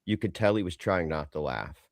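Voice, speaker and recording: A man's voice: low-pitched, animated, fairly quick, no room sound, studio quality. A man co-hosting a podcast, chatting casually in a rambling, opinionated way and swearing freely. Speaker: podcast co-host. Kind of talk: casual chat.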